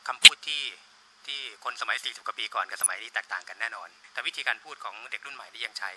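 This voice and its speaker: A man's voice: lowish voice, fast, pushed and bright. A man in his thirties explaining his work as a producer in an informal interview, on a handheld mic in a small office. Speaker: radio drama producer being interviewed. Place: small studio office, indoors. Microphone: handheld reporter microphone. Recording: noisy room, handheld interview microphone.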